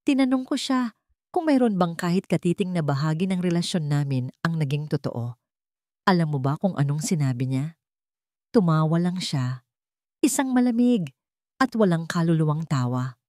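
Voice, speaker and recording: A woman's voice: sits low, animated, moderate pace, pauses often, a little mellow, no echo, studio quality. A woman voicing a remorseful jailed mistress confessing earnestly in a letter, read as dramatic storytelling. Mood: bitter, regretful.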